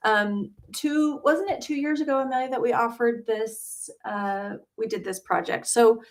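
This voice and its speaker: A woman's voice: on the high side, unhurried. A middle-aged woman from Utah hosting a casual livestream from her home studio, chatty and full of ums and uhs. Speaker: quilting channel host.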